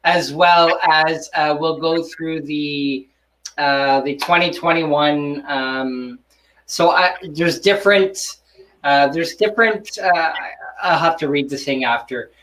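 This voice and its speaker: A woman's voice: deep voice, takes it slowly, a bit bright. A woman hosting a casual live online show over a video call, rambling and stumbling a little over words. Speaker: host of an online social show. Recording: distant, faintly noisy video call.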